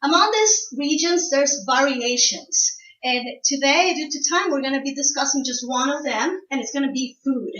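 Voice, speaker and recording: A young woman gives a prepared class presentation and speaks straight to her listeners. Her voice sits high. It is heard from a distance in an echoey room, with a dull lo-fi sound. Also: lively intonation, normal speed, fairly bright tone. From Ecuador. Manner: prepared, explanatory, addresses the audience directly. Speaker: student speaker. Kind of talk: classroom informative speech.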